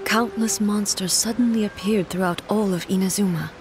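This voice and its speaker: A woman voices an immortal shogun from a fantasy game, speaking in a mid-pitched, formal voice. She is stately and composed, carrying old sorrow in measured words. Music plays clearly behind her. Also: steady tone, natural voice. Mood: grave. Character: solemn, regal immortal female shogun, grieving yet composed. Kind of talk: video game voice acting, formal dialogue.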